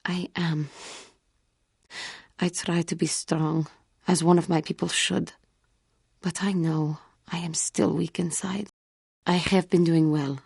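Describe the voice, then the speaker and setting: An actress playing a proud but guilt-haunted female feline alien warrior in a video game, speaking formally and earnestly, with halting care. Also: low-pitched, creaky, darkish tone, husky, no echo, clean studio sound, exaggerated voice. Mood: troubled, earnest. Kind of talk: scripted video game character dialogue.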